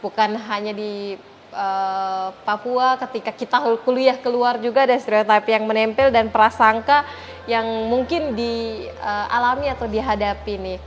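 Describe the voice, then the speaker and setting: A woman's voice: fairly high pitch, steady tone, moderate pace. A woman hosting a podcast interview in a conversational style, phrasing questions hesitantly and often seeking agreement. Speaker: podcast host interviewing a guest.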